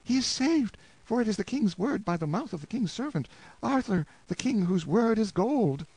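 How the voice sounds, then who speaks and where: A frantic, tearful young peasant wife begs in lilting old-fashioned speech, her voice pitched high and swooping wildly, as a male storybook narrator puts her on. The sound is close and dry, with no room around it. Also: sing-song, normal speed, darkish tone, breathy edge, no room sound, heavily acted, poor audio. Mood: joyful. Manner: high, imploring, breathless archaic pleading. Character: desperate pleading peasant wife, young woman.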